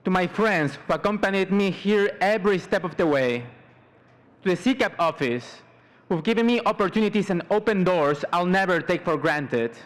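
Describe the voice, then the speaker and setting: A young man in his twenties from Mexico, giving a formal prepared commencement speech as a student, in measured short phrases. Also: high-pitched, lively intonation. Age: 20s. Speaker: student salutatorian.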